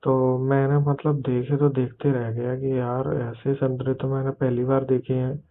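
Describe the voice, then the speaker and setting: A man's voice: medium pitch, level tone, lightly acted. Hesitant young brother on a muffled call, acted by a man. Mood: bashful, confessing. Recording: muffled, narrow-band phone call audio.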